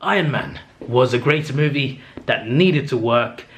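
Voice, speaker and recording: A young man talks straight to camera in a loose, excitable ramble, stumbling over words and correcting himself as he goes. His voice sits at an ordinary middle pitch and sounds slightly worn, as if he is losing it. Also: unhurried. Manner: chatty and enthusiastic, with frequent fillers and self-corrections. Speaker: movie review channel host. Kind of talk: casual ranking monologue to camera.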